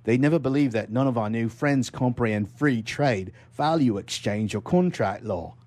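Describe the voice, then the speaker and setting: A man's voice: medium pitch, normal speed, a little mellow, close to an everyday voice. A man voicing an irritable, exasperated chief executive in a satirical short story read aloud for radio. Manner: tense, irritable. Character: Lucifer, dangerously calm, exasperated male corporate chief executive.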